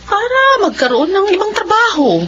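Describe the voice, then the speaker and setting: A woman's voice: very high-pitched, big pitch swings, moderate pace, dull audio, background noise. A woman playing a devoted working mother in a radio-style family drama, speaking expressively.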